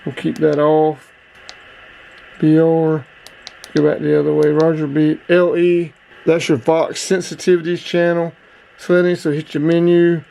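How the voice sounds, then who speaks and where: A man's voice: a little high, creaky, warm tone, low-quality recording. A man hosting a casual hands-on product review in a plain-spoken, informal way.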